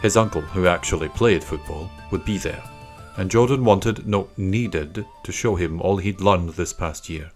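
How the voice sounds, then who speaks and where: A man's voice: low voice, a little slow, faint music behind. A man reading a scripted children's story as an audiobook narrator.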